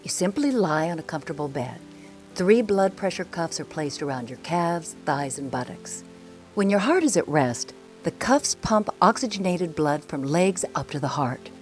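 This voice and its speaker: A woman narrates a scripted advert in a fairly low voice with animated, varied pitch. Faint music runs behind her. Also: normal speed.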